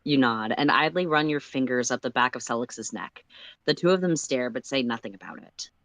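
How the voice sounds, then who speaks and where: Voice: medium pitch, brisk, fairly bright tone, no echo. A person reading a story aloud as narrator, in second-person prose on a livestream.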